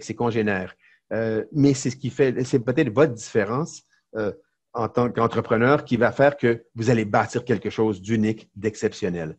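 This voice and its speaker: A middle-aged man with a Québécois French accent, a lawyer and entrepreneur chatting as a podcast guest, telling lively stories with many fillers and restarts. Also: mid-range voice. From Quebec, Canada. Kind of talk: casual interview chat.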